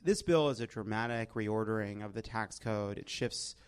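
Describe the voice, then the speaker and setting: A man's voice: lowish voice, moderate pace, clear, resonant tone. An American man hosting a comedy politics panel with wry, exasperated banter and casual swearing. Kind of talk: comic banter and topical commentary.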